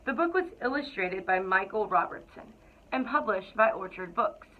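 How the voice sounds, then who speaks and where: A woman reading a children's picture book aloud to school students in an expressive, deliberate storytelling way. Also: medium pitch. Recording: thin, phone-like narrow-band sound.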